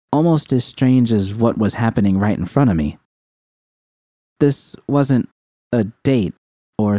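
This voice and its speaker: A man narrates a story in the first person. His voice sits fairly low and is very soft-edged and mellow, recorded close and dry with no room around it. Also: no echo. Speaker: narrator, fan fiction reader.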